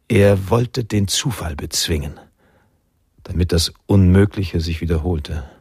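A man narrating a literary radio drama in a measured, sombre manner, with a gravelly, husky voice. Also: low voice, darkish tone, no room sound, studio quality.

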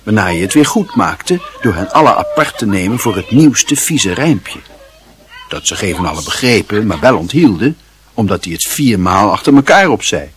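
A man's voice: lowish voice, big pitch swings, normal speed, husky. A man reading scripted literary narration as the narrator of a radio drama.

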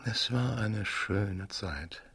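An actor playing a suave, self-satisfied French marquis, gallant and courtly, in a 1970s German radio drama. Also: male voice, fairly low pitch, drawn-out words, fairly bright tone, exaggerated voice. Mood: gallant, amused. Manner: gallant, courtly, smooth-talking.

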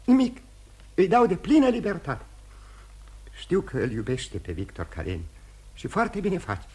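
An actor playing a remorseful, courteous, dissolute gentleman husband in theatrical radio drama style, candid and halting with repetitions. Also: high-pitched, wildly swooping pitch, breathy edge, exaggerated voice. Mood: earnest, candid. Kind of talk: mid-century Romanian radio theatre acting.

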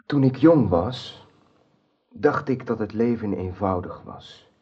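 Sad noble knight in old radio play, husky, slow, grave n earnest, dull recording. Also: male voice, fairly low pitch, lively intonation, darkish tone, heavily acted. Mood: troubled, sorrowful. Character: troubled honourable knight, torn and sorrowful. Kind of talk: radio drama acting.

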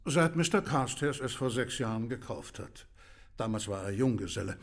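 A loyal discreet servant, husky voice, medium pitch, earnest and deferential, radio drama. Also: male voice, lively intonation, normal speed. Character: Mister Kirby, dutiful, discreet household manservant.

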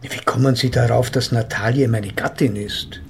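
An actor playing a composed, condescending male psychotherapist in a radio play, speaking formally, measured and with polite scepticism. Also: mid-range voice, fairly even intonation, gravelly, slightly rough. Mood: measured, patronising. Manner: formal, measured, faintly patronising. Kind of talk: German radio drama dialogue.

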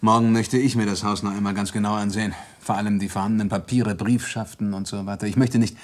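An actor playing a sober, probing police inspector in a German radio crime drama, terse and measured. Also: male voice, on the low side, fairly even intonation, natural voice. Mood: businesslike.

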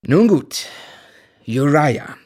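An actor playing a polite, reserved young gentleman who also narrates, in a German radio drama. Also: on the high side, very animated, very slow, slightly rough, dry recording, studio quality, full character voice. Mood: tense, guarded.

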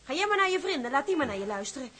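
A woman's voice: sits high, big pitch swings, very fast, a bit bright. A woman playing a homely but firm young seaman's wife and mother in a radio drama. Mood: scolding. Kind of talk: naturalistic period radio drama.

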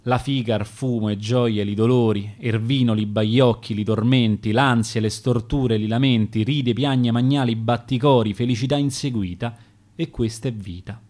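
A man with a Roman accent reciting his own rhymed sonnets in a measured, theatrical way for a radio broadcast. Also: mid-range voice, fairly even intonation, a little mellow.

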